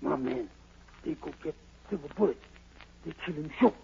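Creaky, grim tracker, broken English. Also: male voice, a little high, expressive, normal speed, a little mellow, exaggerated voice. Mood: grim certainty. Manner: clipped broken pidgin English. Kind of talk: 1940s radio drama acting. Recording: muffled, noisy old radio recording.

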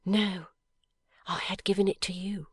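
A woman reads a devoted young wife in an audiobook, gentle and earnest in a calm, loving voice. Her voice is slightly breathy, with a dry, close sound, and it is a touch higher than the reader's narration. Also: measured pace, no room sound. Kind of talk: audiobook character voice.

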